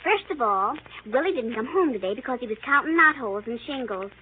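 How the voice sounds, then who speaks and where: A woman plays a little girl with a high, very animated voice. She chatters and tattles eagerly, piling up run-on sentences with childish importance. It is old radio drama, tinny and narrow. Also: a little fast, lightly acted. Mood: eager tattling. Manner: breathless run-on storytelling, childishly insistent. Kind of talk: 1930s radio drama acting. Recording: tinny, hissy old 1930s radio recording.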